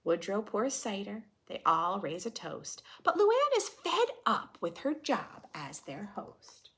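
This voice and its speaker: A woman reads a picture book to young children like a preschool teacher. Her pitch swings widely and expressively, and she takes her time over each phrase. There is noticeable creak in her voice, and she often turns to the listener with playful questions. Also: sing-song, takes it slowly, fairly bright tone. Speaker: children's read-aloud teacher. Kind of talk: expressive storybook read-aloud with teaching asides.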